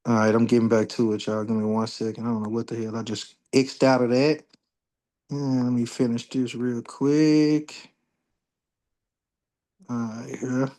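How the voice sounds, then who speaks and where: A man's voice: mid-range voice, level tone, measured pace, long pauses, a little mellow. Blunt, profane man in his forties. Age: 40s. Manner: blunt, profane, worked up when ranting. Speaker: astrology livestream host. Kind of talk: casual livestream talk.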